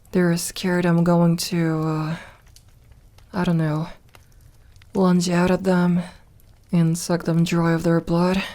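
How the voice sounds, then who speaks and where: Slow, mellow lonely vampire woman, acted by a woman. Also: fairly low pitch. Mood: shy, awkward. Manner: hesitant, soft-spoken, slow with pauses. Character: lonely, lovelorn female vampire, gentle and wry. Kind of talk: intimate ASMR audio roleplay.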